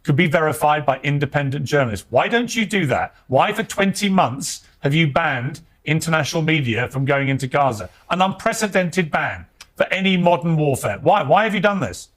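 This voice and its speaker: A male television host pressing a guest with rapid, confrontational questions. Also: on the high side, lively intonation, fairly bright tone. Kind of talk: confrontational TV interview.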